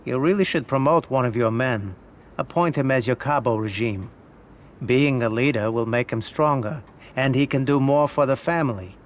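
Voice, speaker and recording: A calculating older mob boss played by a man in a game cutscene, with a mid-pitched voice. He speaks with measured, quiet authority over faint background noise. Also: close to an everyday voice. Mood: calm, advising. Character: calm, calculating older male crime boss. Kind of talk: video game cutscene acting.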